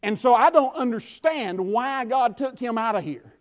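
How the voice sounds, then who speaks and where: A man with a Southern US accent preaching a sermon from the pulpit, building to fervent shouts and dropping to quiet talk. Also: very high voice, expressive, normal speed. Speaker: pastor. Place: church.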